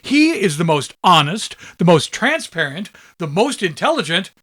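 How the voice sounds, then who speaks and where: Guy doing a pompous high-pitched defense lawyer, gushing flattery, big swings, radio satire. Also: normal speed, breathy edge, no room sound, studio quality, heavily acted. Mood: fawning, grandiose. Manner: oratorical, effusive, pompous. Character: fawning, grandiose defense attorney, a flattering loyalist. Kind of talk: satirical radio drama courtroom acting.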